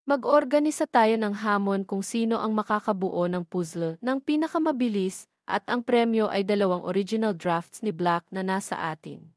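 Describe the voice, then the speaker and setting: A woman's voice: mid-range voice, normal speed, dry recording, clean studio sound, lightly acted. Woman voicing an earnest, resolute Filipino college girl. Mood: enthusiastic. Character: young female student, earnest and resolute.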